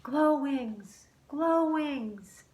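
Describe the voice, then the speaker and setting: A woman voicing an excitable young boy in a children's story, emphatic and earnest. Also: sits high, drawn-out words, a little hiss or hum, pitched above the performer's own voice, exaggerated voice. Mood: excited, calling out. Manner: emphatic, earnest, loud when riled. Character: excitable young boy, argumentative but eager to be kind. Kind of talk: acted children's storybook dialogue. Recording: roomy, echoing sound.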